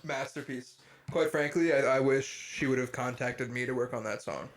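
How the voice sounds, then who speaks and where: A man co-hosting a casual podcast chat with a dry, deadpan humor. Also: medium pitch, moderate pace. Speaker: podcast co-host.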